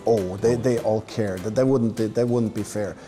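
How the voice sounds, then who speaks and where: A man from Austria, a coach, answering interview questions in a relaxed, joking way with frequent restarts. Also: lowish voice, moderate pace. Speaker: basketball coach. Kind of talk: casual interview. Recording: echoey room with music behind.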